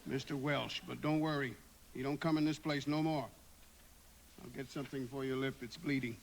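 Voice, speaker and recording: A protective, solicitous tavern keeper acted by a man in a live radio drama. His kindly, mid-pitched voice comes with faint background noise. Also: fairly even intonation, moderate pace, gravelly, lightly acted, poor audio. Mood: concerned. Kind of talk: live radio play acting.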